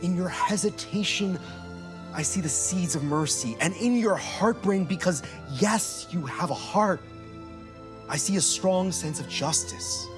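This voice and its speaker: Woman as animated, earnest philosopher companion. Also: lowish voice, measured pace. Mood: gentle, earnest. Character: Aristotle, earnest philosophical companion, wide-eyed and loyal. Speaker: actor in a live stage audio drama. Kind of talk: live sci-fi radio play acting. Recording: live stage play with music bed.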